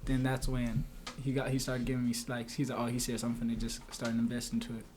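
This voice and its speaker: A man's voice: mid-range voice, monotone, quick pace, noisy background. A young man from East Los Angeles with a Southern California accent, answering interview questions in a slangy, rambling way. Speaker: guest skateboarder.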